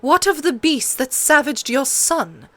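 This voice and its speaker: A cold and scheming queen, voiced by a woman narrator, pitched fairly high. She is haughty and imperious, with a cutting edge, as heard in an audiobook. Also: animated, a little slow, dry recording. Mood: demanding. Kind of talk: audiobook character voice.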